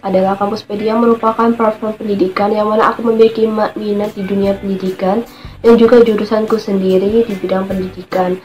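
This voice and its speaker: A woman's voice: mid-range voice, flat delivery, brisk, a little mellow, soft background music. A twenty-one-year-old woman speaking earnestly, stumbling slightly, as a former intern. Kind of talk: formal testimonial.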